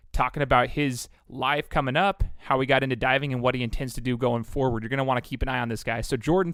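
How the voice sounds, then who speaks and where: A man's voice: medium pitch, a bit bright, no room sound, clean studio sound. A man hosting a casual sports interview podcast, upbeat and chatty with plenty of fillers. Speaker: podcast host.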